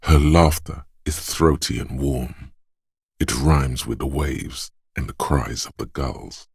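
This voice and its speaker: An actor voicing a disembodied male inner sense of perception in a game, speaking in a hushed, measured, quietly descriptive way in the second person. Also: a bass voice, gravelly, breathy edge, dry recording, studio quality, exaggerated voice. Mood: hushed observant.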